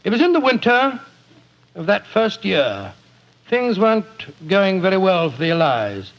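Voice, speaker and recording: Genial older British doctor, blustery exclamations, gravelly, old radio storytelling, dull vintage recording. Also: male voice, high-pitched, wildly swooping pitch, unhurried, heavily acted. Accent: British English. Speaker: actor and narrator in a radio play. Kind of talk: 1940s radio drama acting and storytelling.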